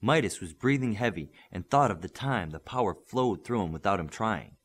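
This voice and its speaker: A man's voice: on the low side, animated, normal speed. A man giving a scripted narration of a novel as an audiobook reader.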